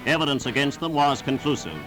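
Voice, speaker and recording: A man's voice: mid-range voice, fairly quick, fairly bright tone, faint music behind, rough recording. A man reading scripted 1940s newsreel narration in a formal, emphatic, declamatory manner on a vintage soundtrack.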